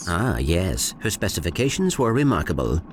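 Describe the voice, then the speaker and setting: A man's voice: low voice, big pitch swings, moderate pace, slight background noise. A man playing a small, polite robot in a video game, speaking in formal, precise and prim phrases. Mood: prim, curious. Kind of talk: video game cutscene acting.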